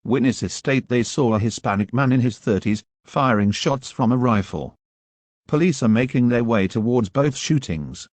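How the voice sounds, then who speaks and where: A man's voice: mid-range voice, expressive, moderate pace, darkish tone, no room sound. Dry, formal male emergency announcer. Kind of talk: scripted emergency news announcement.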